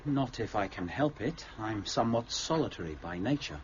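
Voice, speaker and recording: Man playing a polite young gentleman, very expressive. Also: lowish voice, moderate pace, highs cut off, a little hiss or hum, natural voice. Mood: taken aback. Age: young adult. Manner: courteous, formal, mildly indignant. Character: polite, solitary young gentleman lodger, easily taken aback. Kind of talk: period radio drama acting.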